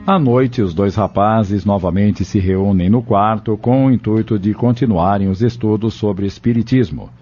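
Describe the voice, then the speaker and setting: A man's voice: on the low side, moderate pace, hardly any pauses, warm tone. A man narrating a scripted audio novel as its storyteller. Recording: dull lo-fi audio with music behind.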